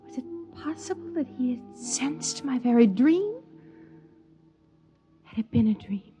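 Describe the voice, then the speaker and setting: An American actress playing a timid, wistful young wife in a sci-fi radio drama, speaking softly, hesitantly and breathily. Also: female voice, high-pitched, animated, a little slow. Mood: dreamy, secretive. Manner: soft, hesitant, dreamy, breathy. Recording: muffled vintage radio recording, music behind.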